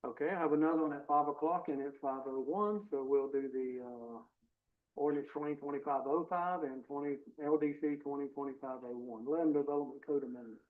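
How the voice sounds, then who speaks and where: A man's voice: fairly high pitch, brisk. Plainspoken man chairing a meeting. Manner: plainspoken, procedural. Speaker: board chair running the meeting. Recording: phone-like meeting audio.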